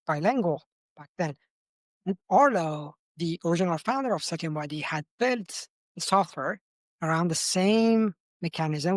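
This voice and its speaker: A man's voice: fairly high pitch, no echo. Iranian-accented man, enthusiastic founder guest. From Iran. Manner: enthusiastic, frequent restarts and fillers. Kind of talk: podcast interview.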